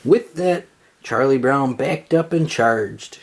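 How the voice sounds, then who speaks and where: Animated children's storybook reader. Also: medium pitch, takes it slowly. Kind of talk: expressive read-aloud storytelling.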